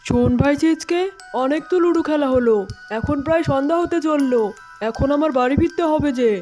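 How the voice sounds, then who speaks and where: A woman's voice: sits high, brisk, darkish tone, soft background music, voice pushed down, close to an everyday voice. A woman voicing a friendly, hospitable married male fox in a cartoon, speaking in a brotherly way. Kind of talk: cartoon voice acting.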